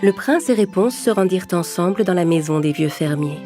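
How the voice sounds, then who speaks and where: A woman narrates a children's fairy tale as a storyteller. Her voice sits slightly low, with clear music playing behind it. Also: animated, fairly quick.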